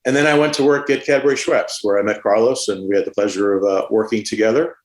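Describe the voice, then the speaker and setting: Low-voiced man in an echoey room. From born in Cuba, grew up in Staten Island, New York. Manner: measured, with frequent "uh" fillers. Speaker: podcast guest, supply chain executive. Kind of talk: conversational career story.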